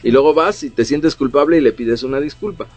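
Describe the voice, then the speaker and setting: A man's voice: mid-range voice, fairly quick, dry recording. A middle-aged man, co-hosting a casual radio talk, explaining at length with many fillers. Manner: explanatory, frequent fillers like "o sea" and "¿no?". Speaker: radio co-host. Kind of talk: casual radio chat on psychology.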